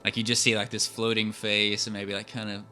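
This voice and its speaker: A man chatting casually as a podcast co-host, in a halting, rambling and hesitant way. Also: fairly low pitch, monotone, pushed and bright. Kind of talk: casual chat.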